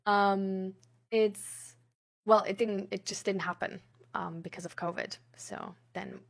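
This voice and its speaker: A woman's voice: medium pitch, steady tone, slow pace, creaky. A woman in casual, rambling chat, thinking aloud in an informal way.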